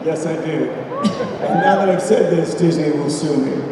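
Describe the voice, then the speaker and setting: Actor guy at a con, unhurried, big hall reverb, far off. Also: on the high side. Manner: passionate, wry. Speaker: panel guest actor. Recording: echoey hall from far off, noisy.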